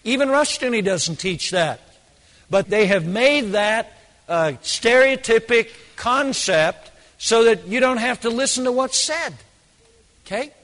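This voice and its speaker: A hoarse man preaching a sermon, emphatic and repeating phrases for stress. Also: very high voice, lively intonation, unhurried, fairly bright tone, long reverb tail.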